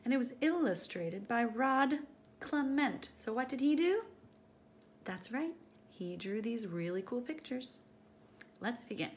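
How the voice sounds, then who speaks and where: High, animated woman reading to children. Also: unhurried, slight background noise. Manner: expressive, addressing young children. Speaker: storytime reader, teacher. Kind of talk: children's picture book read-aloud.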